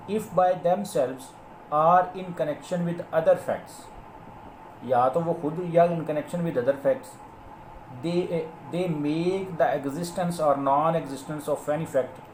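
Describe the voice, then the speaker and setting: Higher-voiced Pakistani man lecturing in echoey room. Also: a little mellow. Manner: explanatory, mixes Urdu with English legal terms, slows when reading out clauses. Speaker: advocate and law lecturer. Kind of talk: law lecture. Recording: echoey room, faint background noise.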